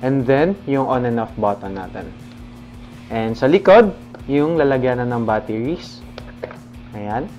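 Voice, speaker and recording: Male Filipino product presenter, casual explainer delivery, medium-high pitch, lo-fi recording with music underneath. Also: animated, a little slow, long pauses, darkish tone. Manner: instructional, addresses viewers informally as guys. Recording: dull lo-fi sound under music. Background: background music.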